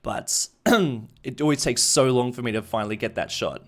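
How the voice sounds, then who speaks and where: A man's voice: medium pitch, normal speed. A man casually commenting on a game live stream, thinking aloud as he chats with viewers. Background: background music.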